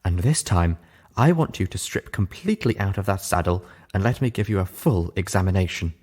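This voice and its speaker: A man's voice: low voice, expressive, fairly quick, darkish tone. A man acts out a prim lady pony medic in a storybook reading. She is bossy and sardonic, with refined, precise, scolding speech. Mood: insistent.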